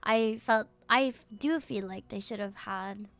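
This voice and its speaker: A woman's voice: mid-range voice, unhurried, fairly bright tone. A woman with a Filipino accent chatting casually and playfully as she streams a game and reacts to her viewers. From Philippines. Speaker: livestreamer. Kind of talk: casual livestream chat over a game, switching to reading the screen. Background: music.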